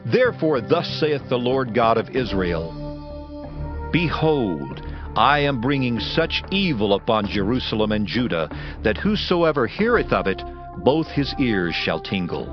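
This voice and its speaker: A man's voice: mid-range voice, close to an everyday voice. A man playing a stern prophet, grave and emphatic, in a declamatory dramatized scripture reading. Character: stern male prophet pronouncing doom. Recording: dull sound under loud music. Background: music.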